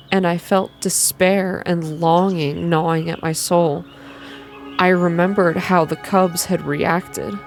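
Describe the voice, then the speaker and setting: A woman softly reading a scripted first-person story in a measured ASMR style, as narrator. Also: on the low side. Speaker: reader, narrator.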